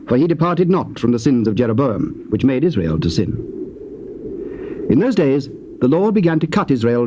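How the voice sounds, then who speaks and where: Solemn male scripture narrator, mid-pitched. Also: mellow. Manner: solemn, measured storytelling. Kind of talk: scripted narration of dramatized King James scripture. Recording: dull, noisy old cassette tape.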